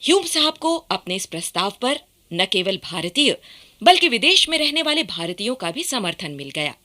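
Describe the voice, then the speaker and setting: Lively, bright woman radio narrator. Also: mid-range voice, normal speed. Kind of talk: scripted radio documentary-drama narration.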